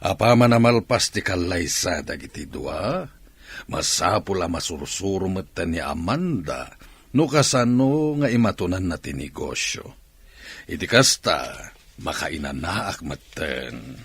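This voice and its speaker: A man's voice: low-pitched, big pitch swings, measured pace, husky, no room sound, exaggerated voice. An actor playing a domineering, business-minded middle-aged father in an Ilocano radio drama, with an Ilocano accent. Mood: pleased, scheming.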